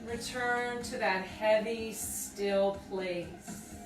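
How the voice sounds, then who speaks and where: A woman leading a guided meditation with slow, deliberate, soothing phrasing and pauses. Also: medium pitch, steady tone. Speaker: group exercise coordinator leading guided meditation. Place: indoors on a rug by firelight. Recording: roomy, distant mic, music behind.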